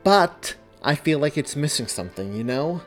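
A man's voice: on the high side, expressive, moderate pace, soft background music, voice pushed up, lightly acted. Man reading as an earnest young woman journalist. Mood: dissatisfied, insistent. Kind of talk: audiobook character dialogue.

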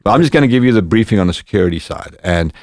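Podcast guest, Zimbabwean man, low-ish voice, animated storyteller, dry room. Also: rapid-fire, no echo. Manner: animated anecdotes, lively quoted dialogue. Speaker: podcast guest, former bodyguard. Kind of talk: casual storytelling.

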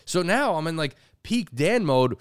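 A man in his thirties co-hosting a casual podcast, talking in an animated way and acting out quoted voices. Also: on the high side, measured pace, clear, resonant tone, dry recording, clean studio sound. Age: 30s. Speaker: podcast co-host.

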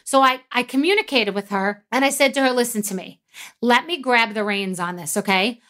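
A woman's voice: a little high, a little fast, pushed and bright. A woman telling a story in a blunt, casual way and swearing freely.

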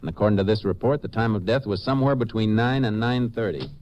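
Male actor as Texas Ranger, calm American investigator, low register, vintage radio drama, muffled sound with faint noise. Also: fast, natural voice. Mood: calm, resolute. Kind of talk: 1950s radio drama acting with scripted narration. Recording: muffled, noisy old-time radio recording.

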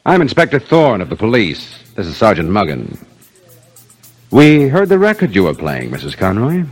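A dry, probing radio police inspector. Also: male voice, fairly low pitch, huge pitch swings, husky, exaggerated voice. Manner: measured, questioning, dryly skeptical. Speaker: actor in a radio detective drama. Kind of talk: 1950s radio mystery acting. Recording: old 1950s radio recording, faint music.

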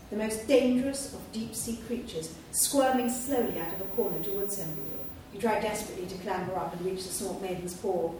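A woman reading a children's storybook aloud at a live event, in a steady, clear storytelling manner. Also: mid-range voice, slight background noise. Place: large hall at a live event. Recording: echoey hall from far off.

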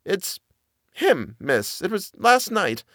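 Brief: male reader doing a jittery middle-aged housekeeper, high and halting, dry close sound. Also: sing-song, fairly bright tone, no echo, exaggerated voice. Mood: fearful. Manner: halting, stammering, plain servant speech. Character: frightened old nanny, a middle-aged housekeeper woman.

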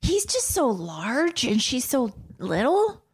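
A woman's voice: high voice, big pitch swings, slow, breathy edge. A woman co-hosting a casual chat show, playful and giggly as she talks. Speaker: podcast co-host.

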